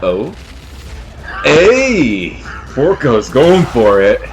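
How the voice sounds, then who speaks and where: A young man with a slightly stuffy, sniffly voice from a cold, hosting an anime reaction with rambling, excitable commentary full of fillers and restarts. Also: medium pitch, very slow, music in the background.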